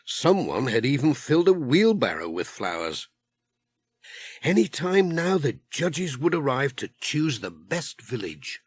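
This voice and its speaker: A man's voice: medium pitch, sing-song, a little slow, breathy edge. A British man narrating a scripted children's story as an audiobook reader. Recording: noisy old audiobook transfer.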